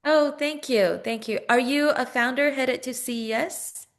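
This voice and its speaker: A woman's voice: sits high, measured pace. A woman hosting a webinar over a video call, greeting and thanking each guest as they join. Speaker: webinar host.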